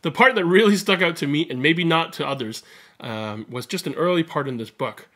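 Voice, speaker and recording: A man in his thirties doing an expressive picture-book read-aloud with casual commentary. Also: fairly high pitch, brisk. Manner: expressive, animated storytelling. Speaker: read-aloud storyteller and host. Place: small indoor room at home.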